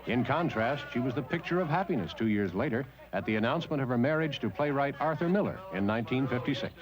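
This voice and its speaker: A man's voice: medium pitch, expressive, fast, a crowd in the background. A man narrating a 1960s newsreel in a matter-of-fact announcer manner. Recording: noisy, clicking old newsreel soundtrack.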